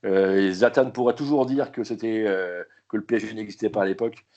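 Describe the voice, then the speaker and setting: A man guesting as a football commentator in a casual chat, retelling moments with animated, repetitive enthusiasm. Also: lowish voice, a little fast. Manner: animated, repeats words as he thinks. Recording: dull lo-fi remote line.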